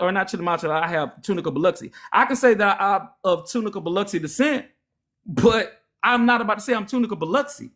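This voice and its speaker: A high-voiced young man with a Louisiana accent hosts a heated livestream debate. He lectures at length, then mocks his opponent with repeated taunts. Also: a bit bright. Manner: lecturing, mocking, repetitive taunts. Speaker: live stream host.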